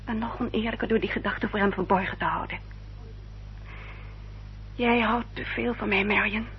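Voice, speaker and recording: A woman acting an earnest, dutiful young upper-class lady in a radio drama, speaking formally and with careful, measured diction. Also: mid-range voice, steady tone, close to an everyday voice. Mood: pleading, resolute. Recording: dull, noisy old radio recording with hum.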